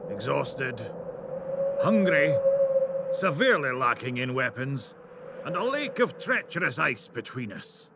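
Man acting a creaky, defiant war leader. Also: medium pitch, exaggerated voice. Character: battle-weary, defiant male war leader rallying fighters. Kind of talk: dramatic character monologue. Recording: loud ambient effects noise.

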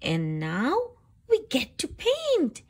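Slow, animated woman reading to children. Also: sits high. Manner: slow, deliberate phrasing with animated emphasis for young listeners. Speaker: host reading picture books aloud to children. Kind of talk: expressive children's read-aloud.

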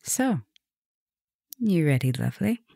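A woman playing a flirtatious, softly dominant vampire professor in an ASMR roleplay, intimate and teasing, sometimes dropping to a whisper. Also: low voice, sing-song, a little slow, creaky, no echo, studio quality, exaggerated voice. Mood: teasing, flirty. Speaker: roleplay audio performer.

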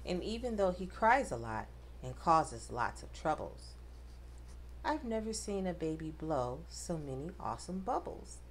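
Low-voiced, lively woman reading to children. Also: measured pace, slight background noise. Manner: addresses children directly, dramatic emphasis on rhymes. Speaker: host reading picture books aloud to children. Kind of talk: expressive children's read-aloud.

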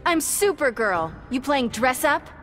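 Young game superheroine, high animated voice, sassy, defiant, confident, music behind. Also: female voice, a little slow. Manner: flippant, defiant. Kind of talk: video game character acting.

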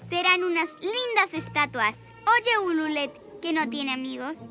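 A greedy little girl villain with a very high voice. She is bratty and theatrical, gloating over her lines without hurry. It is a children's cartoon with loud music behind her. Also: lively intonation, measured pace, exaggerated voice. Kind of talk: animated children's cartoon dub. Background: loud cartoon score.

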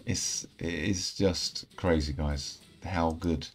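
Man, very low voice, slightly croaky, relaxed rambling game commentary, self-correcting. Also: takes it slowly. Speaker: gaming channel host. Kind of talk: casual gameplay commentary. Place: indoor room at home.

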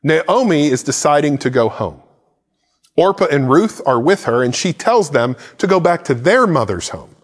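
A man's voice: on the high side, normal speed. Preaching man with a reverberant sound. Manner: animated preaching, gesturing with a Bible in hand. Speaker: pastor. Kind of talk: sermon. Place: church stage set with plants and a cross.

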